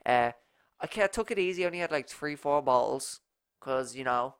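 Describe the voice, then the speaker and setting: A man's voice: mid-range voice, animated, normal speed, smooth, no room sound, studio quality. A young Irish man in his twenties with a nasal voice, telling a rambling story in a casual podcast chat. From Ireland. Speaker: podcast co-host.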